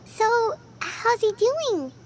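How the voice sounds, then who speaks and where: A woman's voice: very high voice, sing-song, drawn-out words, heavily acted, low-quality recording. A woman voicing a tiny, childlike, inquisitive companion creature in a game. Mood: curious, earnest.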